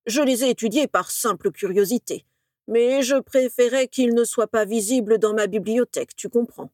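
A woman's voice: a little high, moderate pace, a little mellow, no echo, studio quality, lightly acted. A woman voicing a fearful old male wizard professor in a storybook, stammering and quavering as he talks. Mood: hushed, hesitant. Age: older.

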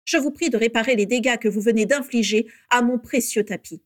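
A woman's voice: a little high, brisk, voice pushed up, close to an everyday voice. A woman voicing an icy, haughty old aristocratic male wizard, curt and carefully articulated, in a storybook. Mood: icy disdain.

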